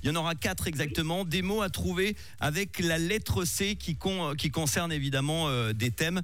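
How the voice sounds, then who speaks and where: A man's voice: fairly high pitch, normal speed, a bit bright. A man hosting a radio quiz game, playful and laughing often. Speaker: radio host. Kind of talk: lively radio game show.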